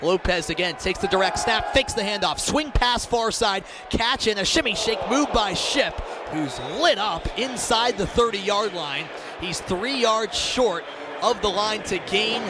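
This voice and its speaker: High-pitched American man calling live sports. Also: lively intonation, measured pace, noisy background. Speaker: play-by-play sports announcer. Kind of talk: live radio sports commentary.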